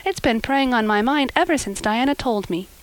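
A woman voicing a breathless, excitable and dreamy young girl in a storybook, chattering and gushing dramatically. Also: a little high, normal speed, clean tone, pitched above the performer's own voice, close to an everyday voice. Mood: anxious. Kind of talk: audiobook character acting.

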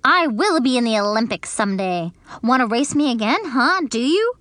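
A woman's voice: a little high, moderate pace, dry recording. Woman doing a bragging, sporty little girl. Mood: excited bragging. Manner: bragging, excited.

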